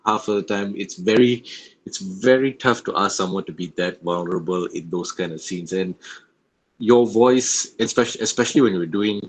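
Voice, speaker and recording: Low-voiced man over clear background noise. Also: poor audio. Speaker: voice actor discussing his work. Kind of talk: casual roundtable discussion.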